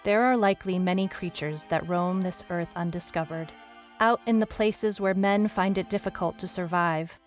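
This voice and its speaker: A woman's voice: on the low side, normal speed, close to an everyday voice. Wry female detective narrator over music, played by an actress. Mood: reflective. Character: wry female detective recounting a strange monster case. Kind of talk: scripted first-person audio drama narration.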